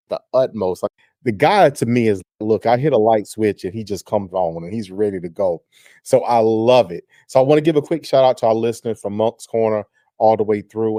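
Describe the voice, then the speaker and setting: A man hosting a real estate radio show, talking in an energetic, effusive way as he hypes up his guest. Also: on the low side, dry recording, clean studio sound. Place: radio studio.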